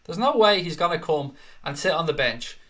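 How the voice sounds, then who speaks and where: Upbeat Irish man, bright voice. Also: on the high side, expressive, moderate pace. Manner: chatty, upbeat, swears casually. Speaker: host. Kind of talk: casual live-stream chat reading viewer comments.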